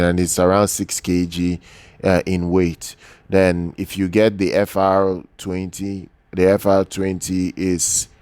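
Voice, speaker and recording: A man giving an unscripted product overview as an audio gear reviewer, speaking haltingly and often repeating words and phrases. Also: sits low, a little mellow, clean tone, dry recording.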